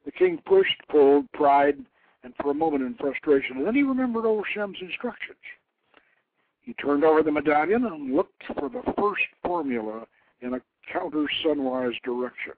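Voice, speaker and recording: A man reading a legend aloud as scripted narration, in a measured, dramatic storytelling manner. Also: a little high, expressive, no room sound. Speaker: host reading his own novelette. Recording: lo-fi, phone-like internet radio.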